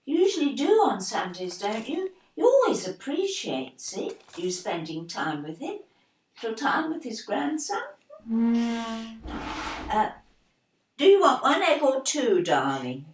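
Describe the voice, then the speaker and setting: Anxious, fragile English mother, swooping pitch. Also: huge pitch swings, a little slow. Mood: tender, anxious. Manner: hesitant, pleading, fussing. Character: anxious, tender, apologetic mother, emotionally fragile. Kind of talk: audio play acting.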